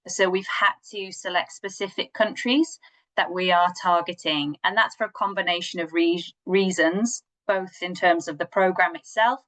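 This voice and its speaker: A woman's voice: fairly low pitch, a bit bright, rough recording. A woman hosting an online information session, explaining details in an organised way and directing questions to her colleagues over a video call. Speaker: session host.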